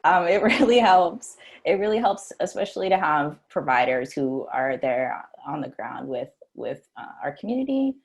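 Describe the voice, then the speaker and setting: Husky, very low-voiced woman panelist. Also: sing-song. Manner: conversational, halting, with light laughs. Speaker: policy lead, panelist. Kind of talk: panel discussion. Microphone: video call.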